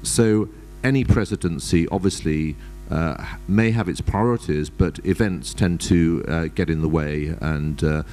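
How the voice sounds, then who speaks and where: A man speaking as a panelist at a conference, in a measured, formal way with many hesitations. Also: low voice, warm tone, faint music behind. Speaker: panelist, ambassador.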